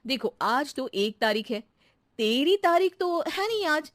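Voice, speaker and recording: A woman acts out a practical cartoon housewife, bantering and easily irked. Her highish voice swings widely in pitch, with a close, dry sound. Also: very animated, a little slow, fairly bright tone, dry recording, exaggerated voice. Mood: teasing. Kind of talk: Hindi cartoon comedy acting.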